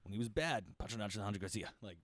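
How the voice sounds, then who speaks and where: A man's voice: on the low side, lively intonation, racing. A young man hosting a podcast in a laid-back casual chat, swearing often and saying "like" a lot. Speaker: podcast host.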